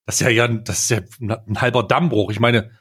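A man's voice: medium pitch, steady tone, fairly quick, a bit bright, breathy edge. A man hosting a casual comedic podcast, talking in an informal, jokey, slangy way. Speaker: podcast host.

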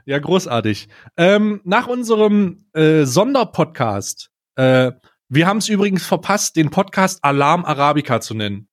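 A man hosting a casual comedic podcast, talking in a halting, informal way with bits of English slang. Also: on the high side, very animated, no room sound, studio quality. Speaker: podcast host.

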